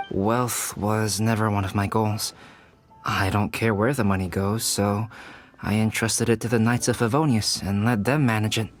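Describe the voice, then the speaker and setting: An actor playing a polite, scholarly young alchemist in a video game, speaking in a measured, formal, understated way. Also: male voice, on the low side, a little hiss or hum, close to an everyday voice. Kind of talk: video game cutscene acting. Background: music.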